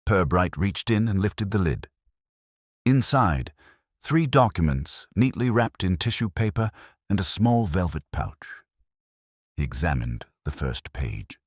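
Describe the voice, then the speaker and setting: An extremely low-pitched man narrates a mystery audiobook. His delivery is measured and dramatic, with deliberate phrasing and a lively rise and fall. The sound is close and dry. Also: no echo. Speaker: story narrator.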